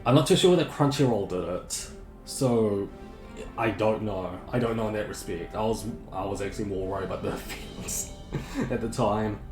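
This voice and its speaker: A man's voice: fairly low pitch, normal speed. A man with a sore throat rambles through a casual live game stream, often correcting himself mid-sentence. His voice is plain and somewhat droning, and it echoes around the room with faint music playing behind him. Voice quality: monotonous, droning. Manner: rambling, self-correcting, chatty.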